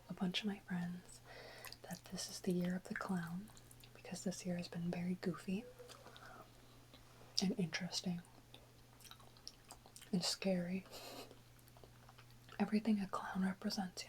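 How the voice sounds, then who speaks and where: A woman whispering softly and close to the microphone in an informal, rambling ASMR chat with unhurried pauses. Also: fairly low pitch, level tone, rough recording. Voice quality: soft unvoiced whisper. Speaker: ASMR video creator. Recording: close whisper with faint background noise.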